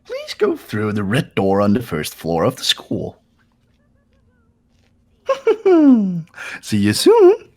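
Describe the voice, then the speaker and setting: Mischievous robot bear, childish, theatrical teasing, slow drawn-out delivery, rough rasp, game character. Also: male voice, medium pitch, wildly swooping pitch, darkish tone, full character voice. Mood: gleeful teasing. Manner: theatrical, sing-song, teasing. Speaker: amateur voice actor. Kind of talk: fan voice-over of a visual novel game.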